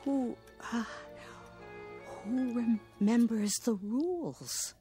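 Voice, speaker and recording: A frail, halting, very slow old woman. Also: fairly high pitch, dark tone. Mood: doubtful, timid. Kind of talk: radio drama acting. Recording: radio drama with music underneath.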